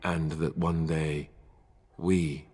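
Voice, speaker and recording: A husky, somber veteran warrior. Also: male voice, a bass voice, level tone, extremely slow, darkish tone, full character voice. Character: weary male warrior veteran, earnest. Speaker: game character.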